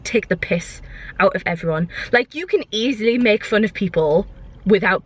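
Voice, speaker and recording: A woman's voice: medium pitch, animated, normal speed, husky. A young British woman chatting casually to her vlog viewers in an informal, rambling way. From United Kingdom. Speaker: vlogger.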